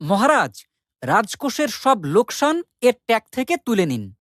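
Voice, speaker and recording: A woman's voice: on the high side, big pitch swings, moderate pace, dry recording, studio quality. A woman playing a clever, confident male court jester in a cartoon, crafty and commanding. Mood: triumphant. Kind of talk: cartoon comedy dialogue.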